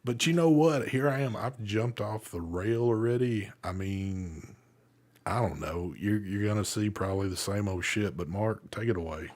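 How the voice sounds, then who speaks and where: Deep-voiced laid-back host. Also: male voice, normal speed, a little mellow. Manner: laid-back, swears casually, chuckles often. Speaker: live vape show host. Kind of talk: casual live-stream chat.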